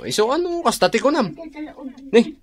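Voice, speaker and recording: A friendly, eager male workmate played by an actor in a radio drama. He is chummy and exclamatory, his pitch leaping about. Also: very animated, normal speed.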